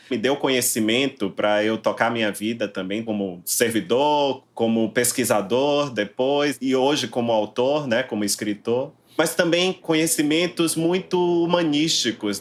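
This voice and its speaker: A man in his forties from Salvador with a Bahian accent, answering interview questions in a reflective way, often ending thoughts with tag questions. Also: mid-range voice. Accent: Bahian Portuguese. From Brazil, Salvador. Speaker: interviewed novelist.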